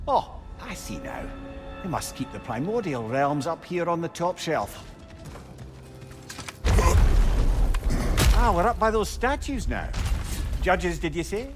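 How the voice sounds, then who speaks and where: A man's voice: on the high side, huge pitch swings, moderate pace, music in the background. A man playing a fretful, thoughtful companion in a video game, talkative, wordy and reflective. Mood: musing.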